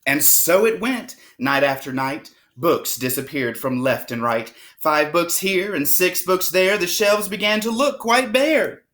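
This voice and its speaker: Expressive, slow-paced woman reading a storybook. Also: on the low side. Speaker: storybook reader. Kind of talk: children's read-aloud of a rhyming picture book.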